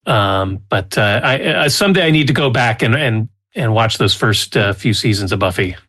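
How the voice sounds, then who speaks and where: A man co-hosting a podcast in casual chat, with a hesitant, halting way of talking. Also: fairly low pitch, lively intonation, a bit bright. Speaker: podcast co-host.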